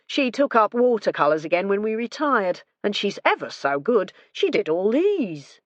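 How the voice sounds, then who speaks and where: A hearty, talkative retired man, as a woman reader puts him on, pitched above her own low voice and bubbling with pride. The recording is dry and a little dull. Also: very animated, moderate pace, dry recording, natural voice. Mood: jovial. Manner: jovial, chatty. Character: brisk retired man, proud cheerful host.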